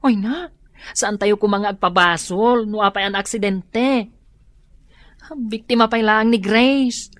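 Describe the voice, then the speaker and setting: A woman playing a steady, reassuring friend who explains and advises in a radio drama, with an Ilocano Filipino accent. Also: mid-range voice, measured pace, no room sound, close to an everyday voice. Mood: reasoning.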